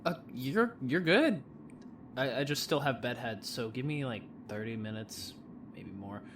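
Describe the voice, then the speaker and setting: A man's voice: mid-range voice, expressive, measured pace. An actor playing an awkward, easygoing young man, hesitant and rambling, in an audio drama. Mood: sheepish. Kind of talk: naturalistic audio drama acting. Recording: clear ambient noise.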